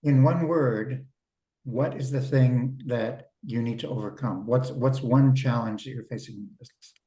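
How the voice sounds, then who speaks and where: A man coaching small business owners on a video call, talking in a relaxed, conversational way and often repeating words as he starts a thought. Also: medium pitch, moderate pace. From Canada. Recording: roomy sound from a distance.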